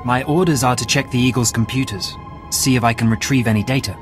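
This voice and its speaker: An actor playing a dutiful young intelligence analyst in a video game, businesslike and deferential. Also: male voice, mid-range voice, steady tone, fast talker, music in the background, close to an everyday voice. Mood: earnest, businesslike. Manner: businesslike, deferential, matter-of-fact.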